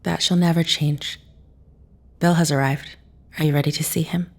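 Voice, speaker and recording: Deep, gravelly, grave woman. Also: steady tone, darkish tone. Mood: gentle, solemn. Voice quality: low, gravelly. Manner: formal, deliberate phrasing. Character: grave, loyal female friend, formal and firm. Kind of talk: audio drama acting. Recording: echoey, roomy sound.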